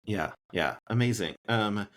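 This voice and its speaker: A man hosting a casual interview, rambling and halting with lots of filler words. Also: on the low side.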